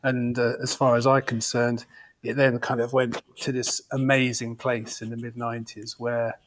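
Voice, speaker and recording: A man's voice: medium pitch, flat delivery, moderate pace, slight background noise. A man in his fifties, a football fan invited as a podcast guest, chatting and reminiscing in a rambling, reflective way. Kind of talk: casual podcast chat.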